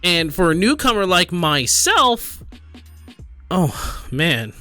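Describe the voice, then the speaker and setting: Bright-voiced man, casual gaming commentary. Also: high-pitched, very animated, faint music behind. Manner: halting, conversational, thinking aloud with repeated questions. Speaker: gaming video creator reading and reacting to forum posts. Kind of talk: casual reaction commentary, partly reading comments aloud.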